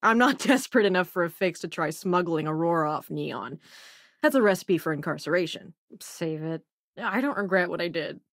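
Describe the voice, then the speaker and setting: An actress voicing a cynical, dry-witted spacefaring hacker in a video game, with a sardonic, conversational manner. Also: female voice, on the low side, no echo. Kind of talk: video game companion voice lines.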